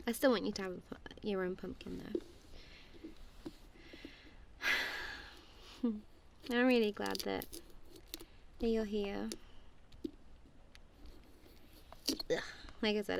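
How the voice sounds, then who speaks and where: Creaky-voiced, playful woman acting as a goofy friend. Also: medium pitch, steady tone, moderate pace, slight background noise, lightly acted. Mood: teasing, chatty, then affectionate, sincere. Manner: playful, giggly, rambling. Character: goofy, affectionate young woman friend carving pumpkins. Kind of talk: ASMR roleplay, casual one-sided chat to a friend.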